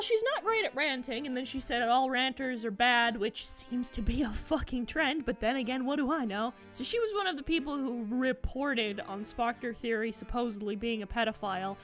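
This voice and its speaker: A woman's voice: on the high side, fairly bright tone. A woman delivering blunt, sweary, sarcastic commentary as the host of a rant video.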